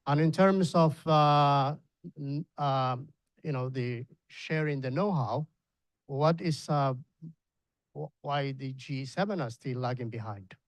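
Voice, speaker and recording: A man from Somalia in the audience asking a panel a question, earnest and halting, repeating words. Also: mid-range voice, no echo, poor audio.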